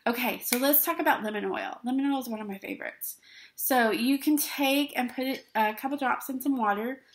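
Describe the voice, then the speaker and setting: A woman's voice: sits high, a little fast. A middle-aged woman vlogging to camera from a small dim room, chatty and bubbly, often checking in with "okay?". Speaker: essential oils vlogger. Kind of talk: casual talk to camera.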